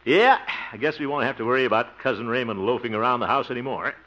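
A man's voice: medium pitch, expressive, fast talker, pushed and bright. An actor playing a blustery, self-satisfied, jovial man in 1950s radio comedy, theatrical and full of chuckles and exclamations. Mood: pleased. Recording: muffled, noisy vintage radio recording.

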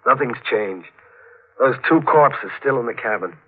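Young fugitive newlywed husband, edgy and suspicious, slightly rough voice, vintage radio drama on a thin band. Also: male voice, medium pitch, animated, unhurried. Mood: weary, tender. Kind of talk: 1940s radio drama acting. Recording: narrow-band muffled old radio recording.

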